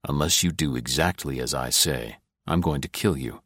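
A male narrator playing a tough, cool-headed American agent who speaks low and deliberately. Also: fairly bright tone, no echo, clean studio sound.

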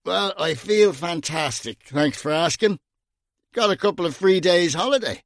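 A man's voice: sits high, lively intonation, no echo, clean studio sound, pitched above the performer's own voice. A man voicing a very drunk, bitter man who slurs his words and talks sarcastically. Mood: drunken. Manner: slurred, sarcastic, drawn-out. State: drunk, slurring.

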